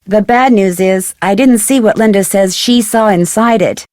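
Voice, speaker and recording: A woman's voice: medium pitch, moderate pace, darkish tone, dry recording, clean studio sound, lightly acted. A woman playing a polite, inquisitive young detective in a video game, speaking in an earnest, thoughtful way.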